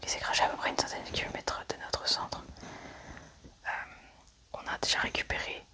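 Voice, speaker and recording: A person whispering softly and unhurriedly through an ASMR roleplay. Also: normal speed.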